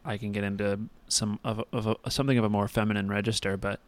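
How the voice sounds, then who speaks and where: A man's voice: lowish voice, fairly even intonation, fast, no room sound. A young man co-hosting a podcast in casual chat, rambling with many restarts and crude jokes, talking into a mic with headphones on. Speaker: podcast co-host.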